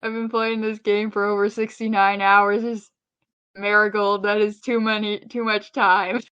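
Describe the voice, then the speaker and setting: A woman's voice: mid-range voice, little pitch movement, measured pace, fairly bright tone, smooth, no room sound, highs cut off. A woman co-hosting a casual podcast, chatty and opinionated, with wry, rambling asides. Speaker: podcast co-host.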